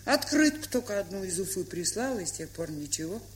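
An actress playing a gruff, stubborn but kind-hearted old aunt in a radio play, with an aged, husky, folksy, grumbling way of talking. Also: female voice, medium pitch, sing-song, fairly quick, a little hiss or hum, close to an everyday voice. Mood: wistful. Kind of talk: Soviet radio play acting.

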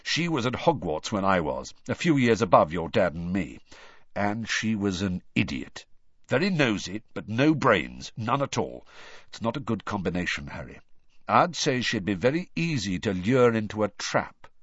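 A man reading the part of a grim, worried adult wizard godfather, speaking low and gravely. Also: very animated, fairly bright tone, no room sound, heavily acted. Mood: scornful. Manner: low, slow and grave, then urgent. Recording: dull, dry recording.

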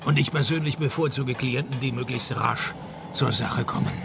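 An actor playing a wry, sarcastic private detective in a radio play. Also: male voice, medium pitch, normal speed, gravelly, background noise, natural voice. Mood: sardonic. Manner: dry, deadpan, sarcastic. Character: wry, deadpan male private detective narrating his cases.

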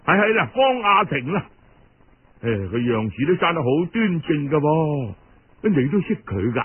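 Man acting a pompous older Cantonese uncle, high-pitched. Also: big pitch swings, breathy edge, phone-quality sound. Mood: ingratiating, scheming. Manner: exclamatory, moralizing then wheedling, drawn-out interjections. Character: pompous scolding older Cantonese uncle, greedy, turning oily and scheming.

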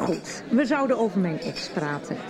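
A woman's voice: mid-range voice, moderate pace, natural voice. An actress in her thirties playing a droll, gossipy estranged wife confiding in a friend in a radio soap, telling it vividly. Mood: chatty, teasing. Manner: animated storytelling, droll. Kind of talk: Dutch radio soap acting. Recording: music and chatter behind the voice.

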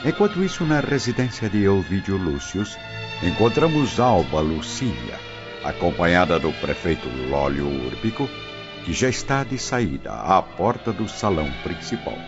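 A man with a deep voice narrates a radio drama. His scripted delivery is dramatic and richly varied in pitch, over music on a dull recording. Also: big pitch swings, moderate pace. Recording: dull lo-fi audio, music behind.

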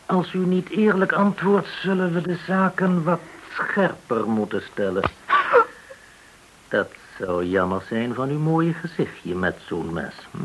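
An actor in a Dutch radio play playing a menacing gangster interrogator, controlled and commanding, full of veiled threats. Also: male voice, a little high, a little hiss or hum, low-quality recording.

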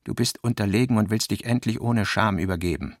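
A man's voice: on the low side, a little fast, no echo, studio quality. A man reading the part of a gloomy, disillusioned, sinewy man who speaks bluntly and bitterly in a radio play.

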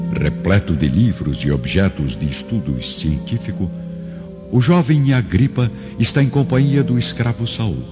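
A man with a very low voice narrates a radio drama with solemn, florid feeling. His intonation swells and falls dramatically. Long reverb and clear music surround the voice, on a slightly dull recording.

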